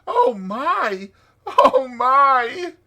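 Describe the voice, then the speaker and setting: A man voicing a plaintive, grumbling little male mole with drawn-out complaints for a dramatized audiobook. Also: very high-pitched, sing-song, bright, forceful tone, voice pushed up, exaggerated voice. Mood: pained, miserable.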